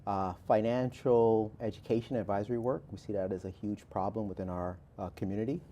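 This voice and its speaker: A deep-voiced man from Barbados in his forties, answering as a guest author. His tone is very warm and relaxed, and he talks in a measured, thoughtful way with lots of small pauses. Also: a little hiss or hum. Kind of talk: interview answers.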